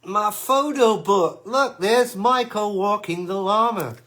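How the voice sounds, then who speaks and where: An older man, a guitarist on a fan livestream, telling stories about his past in a relaxed way that often trails off. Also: sits high, slow pace. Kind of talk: casual reminiscing chat. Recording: echoey room.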